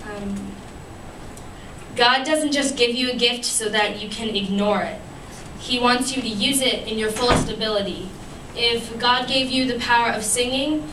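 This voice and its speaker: A woman's voice: on the high side, sharp tone. A young woman giving an earnest church devotional to a fellowship, with many ums and restarts, her voice still worn from having lost it. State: recovering from a lost voice. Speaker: youth speaker at church fellowship. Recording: echoey room, distant mic, noisy.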